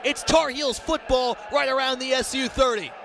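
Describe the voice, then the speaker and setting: A man's voice: extremely high pitch, normal speed, vocal fry, noisy background. An American man doing live radio play-by-play, calling the action in detailed, punchy phrases. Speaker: play-by-play sports announcer. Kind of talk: live radio sports commentary.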